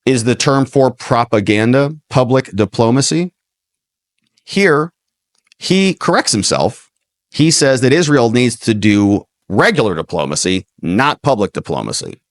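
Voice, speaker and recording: A man's voice: medium pitch, lively intonation, a little slow, dry recording, studio quality. An American man hosting political commentary, opinionated and given to rhetorical questions. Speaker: political commentator and show host. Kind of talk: livestream news commentary over played clips.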